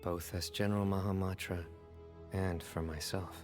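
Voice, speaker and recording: Low male voice acting, stoic young general, stern, restrained, deliberate video game delivery, faint music. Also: level tone, close to an everyday voice. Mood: quiet determination.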